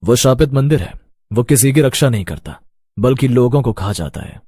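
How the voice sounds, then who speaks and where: A man voicing a solemn villager who gives ominous warnings in an animated horror story. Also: medium pitch, normal speed, slightly rough, dry recording, clean studio sound.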